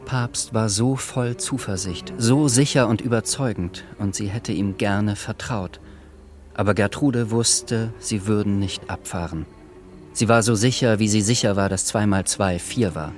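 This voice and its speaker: A man's voice: fairly low pitch, measured pace. A man narrating a radio play in a scripted, literary style. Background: music.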